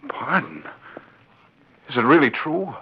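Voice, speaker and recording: A man's voice: mid-range voice, very animated, takes it slowly, breathy edge, heavily acted. A man playing a plain-spoken, weary former outlaw in a 1940s radio western, with folksy frontier talk. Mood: earnest. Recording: muffled 1940s radio recording.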